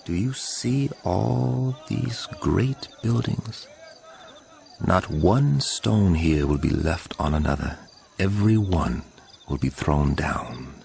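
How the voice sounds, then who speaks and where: An actor playing a solemn teacher warning his followers, speaking with grave, emphatic and deliberate phrasing in a dramatic scripture reading. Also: deep voice, gravelly, darkish tone, a little hiss or hum, full character voice. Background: music.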